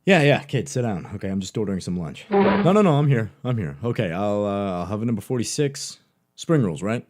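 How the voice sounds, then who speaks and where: An actor playing a brusque, distracted school guidance counselor in a comedy, muttering and repeating himself. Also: male voice, fairly low pitch, very animated, brisk, darkish tone.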